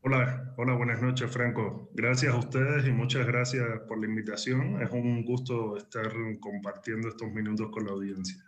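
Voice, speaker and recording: A man's voice: mid-range voice, steady tone, moderate pace, hardly any pauses, lo-fi sound. Cuban man giving earnest interview answers. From Cuba. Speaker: interview guest, exiled journalist and editor.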